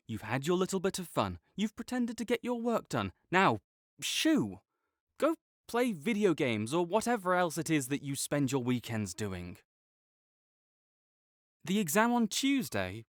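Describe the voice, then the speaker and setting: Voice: mid-range voice, no echo, studio quality. A young adult performer voicing a sarcastic, condescending academic rival with a slight tsundere streak, teasing throughout. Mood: annoyed teasing. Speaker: audio roleplay performer. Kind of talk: scripted audio roleplay acting.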